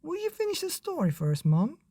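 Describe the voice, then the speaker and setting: A man as young boy, storybook, pleading, questioning, raised soft-edged voice. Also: extremely high pitch, huge pitch swings, a little fast, dry recording, pitched above the performer's own voice, full character voice. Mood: eager. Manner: eager, questioning, childlike.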